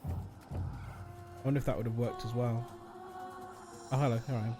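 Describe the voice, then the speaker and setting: A man's voice: mid-range voice, level tone, normal speed, music in the background. A man streaming a game, chatting casually with wry joking asides.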